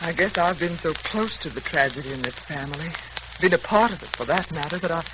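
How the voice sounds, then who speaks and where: A woman's voice: sits low, expressive, brisk. A woman playing a worried, sorrow-worn mother in a 1940s radio serial, earnest and melodramatic. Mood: weary, troubled. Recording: crackly 1940s radio recording.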